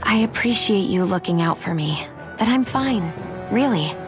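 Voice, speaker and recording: A proud young game heroine , voiced by a woman, guarded and dismissive of concern. Her mid-pitched voice moves expressively, over faint music. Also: lively intonation, normal speed, close to an everyday voice. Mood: reassuring. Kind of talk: video game cutscene voice acting.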